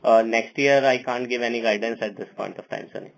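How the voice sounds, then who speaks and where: Man explaining finances, halting delivery. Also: medium pitch, steady tone, creaky, low-quality recording. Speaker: finance executive. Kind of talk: earnings call answers.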